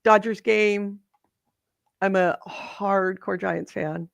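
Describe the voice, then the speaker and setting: A slightly hoarse woman co-hosting a podcast, chatting in a rambling, self-interrupting way. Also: medium pitch, steady tone, takes it slowly, no echo. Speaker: podcast co-host. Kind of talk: casual chat.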